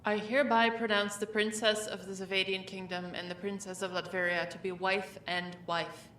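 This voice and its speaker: A woman playing a calm, formal royal wedding officiant in an audio drama, speaking in measured, solemn phrases. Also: medium pitch, close to an everyday voice. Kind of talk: audio roleplay drama acting. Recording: echoey, distant mic, faint noise.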